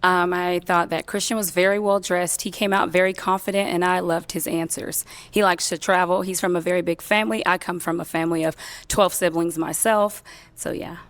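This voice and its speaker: Low-voiced upbeat woman contestant. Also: fairly even intonation, brisk, no echo. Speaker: dating show contestant. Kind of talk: casual chat.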